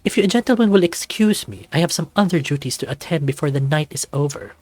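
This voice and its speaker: A man's voice: a little high, animated, fast talker, darkish tone, dry recording, voice pushed up. A man voicing a flamboyant, gracious snow leopard who hosts guests at a resort in a theatrical, courtly way, in a visual novel. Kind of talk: visual novel character acting.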